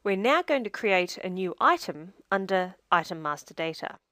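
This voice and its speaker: A woman narrating a scripted software tutorial as a demonstrator, in a measured, step-by-step instructional way. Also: mid-range voice, lively intonation, no echo, clean studio sound.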